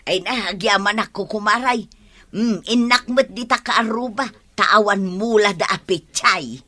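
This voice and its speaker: A woman's voice: medium pitch, a little slow, sharp tone. A woman acting a plaintive, chiding village housewife in a comedy radio drama, with an emphatic, sighing manner.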